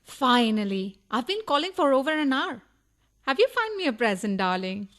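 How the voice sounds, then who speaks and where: An actress playing a suspicious, scolding wife in a farcical radio play, nagging and accusatory. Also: female voice, high voice, sing-song, moderate pace, fairly bright tone. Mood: impatient. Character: suspicious, scolding, sharp-tongued wife of a burglar.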